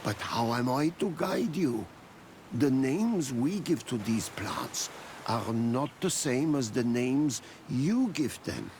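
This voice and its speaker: An actor playing a despairing, proud blind herb gatherer in a video game cutscene, speaking in a halting, solemn way. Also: male voice, mid-range voice, big pitch swings, darkish tone, a little hiss or hum. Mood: wounded pride.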